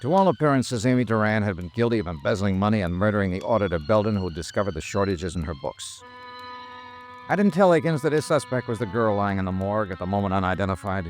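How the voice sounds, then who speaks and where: Old radio noir investigator, american, low voice that moves around a lot, tired but soft hearted, bit of music. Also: male voice, sing-song, fast. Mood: grim narration. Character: hardboiled insurance investigator, weary, with a soft spot. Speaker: lead actor and first-person narrator. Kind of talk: 1950s American radio detective drama acting and narration.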